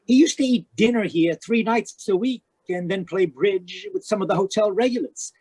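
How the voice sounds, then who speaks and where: A mid-pitched voice plays a hotel desk clerk from vintage radio acted by a woman. The clerk is formal and polite, easily flustered and fond of gossip. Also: moderate pace, dark tone, slightly rough, lightly acted. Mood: gossipy. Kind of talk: old-time radio drama acting. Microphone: video call.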